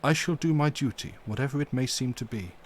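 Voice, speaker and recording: A grave elderly professor speaks in slow, formal, halting phrases, stern and tender at once, as a male reader puts him on. The voice sits higher and louder than the reader's own. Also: lively intonation, lightly acted. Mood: solemn.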